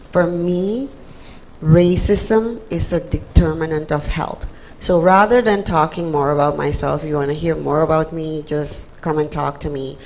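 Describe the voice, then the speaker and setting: Low gravelly Surinamese-born woman, slow. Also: darkish tone, slight background noise, poor audio. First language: Dutch. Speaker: city anti-racism program manager. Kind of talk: panel introduction.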